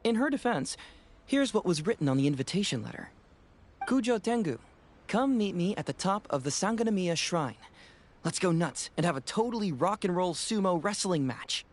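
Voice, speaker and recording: A man playing a breezy, sly young detective in a video game, smooth-talking, teasing and playfully smug. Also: sits high, lively intonation, moderate pace, a little hiss or hum. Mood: amused.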